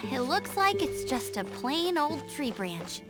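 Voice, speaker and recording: A woman's voice: sits high, sing-song, slow, over loud music, full character voice. A woman voicing an eager young girl scout in video game dialogue, earnest and upbeat as she urges others on. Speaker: outrider.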